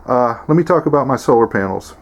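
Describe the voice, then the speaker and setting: A man's voice: medium pitch, animated, fast talker. Folksy man with noise behind him. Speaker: host of homesteading video channel. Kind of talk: casual instructional vlog.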